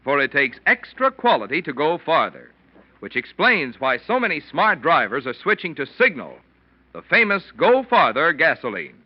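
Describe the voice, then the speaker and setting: Male announcer for vintage radio commercial, slightly high voice, emphatic sales delivery, dull old sound. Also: sing-song, normal speed, breathy edge, no echo. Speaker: announcer, sponsor pitchman. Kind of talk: 1940s radio advertising announcing.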